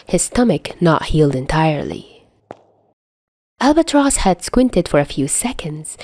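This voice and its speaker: A woman reading a story aloud as an expressive, dramatic narrator. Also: lowish voice, dry recording. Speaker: fan fiction reader.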